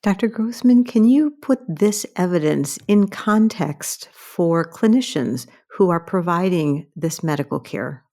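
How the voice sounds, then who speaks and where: A woman's voice: on the low side, animated, a little slow, hardly any pauses, mellow. A woman hosting a professional medical interview, putting questions to her guests. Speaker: interviewer, journal editor host.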